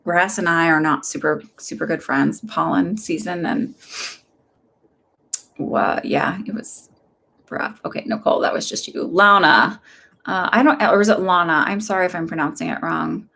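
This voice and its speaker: A middle-aged woman from Texas giving casual live psychic readings, chatty and upbeat, rambling along, gently reassuring as she passes on advice. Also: fairly low pitch, moderate pace, creaky.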